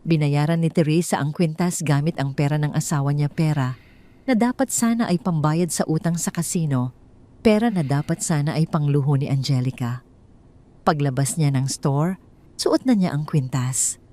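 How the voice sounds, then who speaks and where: Woman, low voice, Filipino accent, scripted story narration, dramatic audiobook delivery. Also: normal speed, mellow.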